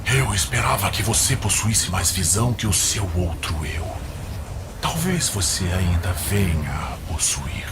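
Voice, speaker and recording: A man playing a menacing, contemptuous demon lord in a fantasy audio drama, speaking in a slow, imperious manner. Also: low-pitched, lively intonation, heavy background noise. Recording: loud dramatic music behind.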